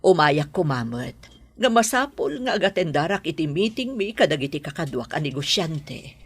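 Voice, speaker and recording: A woman acting in an Ilocano audio drama as a middle-aged, businesslike mother with a husky voice. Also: sits low, big pitch swings, normal speed, full character voice. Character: businesswoman mother, matter-of-fact with her grown son.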